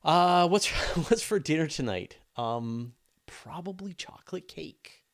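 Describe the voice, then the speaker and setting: A man's voice: a little high, expressive, slow. A man hosting a casual live question and answer session, talking informally and thinking aloud in broken phrases. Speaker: host of a space news channel.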